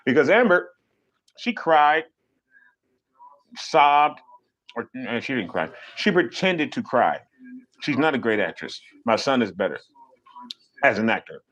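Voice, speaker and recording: A man ranting on a casual live stream, animated and profane, mocking people with sudden high mimicking voices. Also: measured pace, pauses often, low-quality recording.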